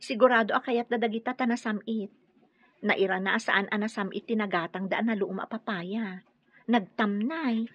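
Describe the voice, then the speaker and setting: An actress playing a meek, wronged young daughter-in-law in an Ilocano radio drama, plaintive and earnest, with an Ilocano accent. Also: female voice, mid-range voice, steady tone, normal speed, breathy edge, no echo, dull audio, natural voice. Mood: gentle, uneasy.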